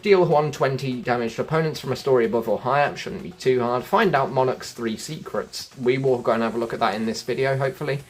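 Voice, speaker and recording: A man's voice: medium pitch, quick pace, few pauses. A man hosting a gaming channel, talking viewers through a gameplay walkthrough in a chatty way and calling them folks and guys.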